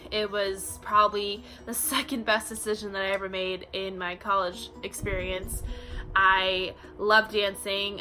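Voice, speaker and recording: A young woman in her early twenties chats casually to her camera, full of ums and "you know"s. Her voice is bright and middle-pitched, and a soft bed of music plays quietly underneath. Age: 20s. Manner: chatty, frequent fillers like um and you know. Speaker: vlogger. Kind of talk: casual facts-about-me vlog.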